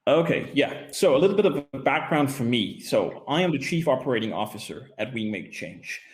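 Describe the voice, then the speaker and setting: A man's voice: medium pitch, expressive, brisk. A young man from Denmark giving a friendly webinar presentation as a guest speaker, with many hesitations, over a video call. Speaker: guest speaker, social enterprise executive.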